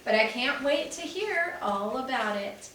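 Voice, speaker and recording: A woman's voice: sits high, lively intonation, a little slow, bright, forceful tone, a little hiss or hum, full character voice. A woman voicing a kindly old grandfather in a read aloud, with little change from her own voice. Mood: fond. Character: kindly grandfather, warm and easygoing. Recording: echoey room, mic at a distance.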